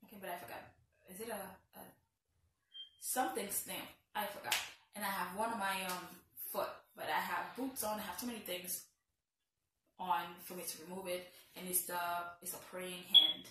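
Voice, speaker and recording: A woman's voice: lowish voice, fairly even intonation, normal speed, background noise. A young woman with a Haitian accent, from Haiti, chatting playfully and teasing herself in a casual vlog. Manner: playful, teasing, self-mocking asides. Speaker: vlogger. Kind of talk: casual get-to-know-me vlog. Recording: very echoey room, a bit far from the mic.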